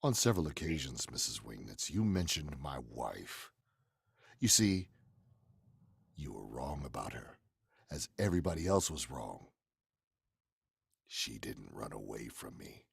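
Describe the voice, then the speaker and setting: Guy doing a old radio horror murderer, low husky voice, bossy and menacing, swings up and down a lot. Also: very animated, unhurried, long pauses, no echo, exaggerated voice. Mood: cold, menacing. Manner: theatrical, forceful. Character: menacing male murderer, commanding, coldly confessing. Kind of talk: 1940s radio horror acting.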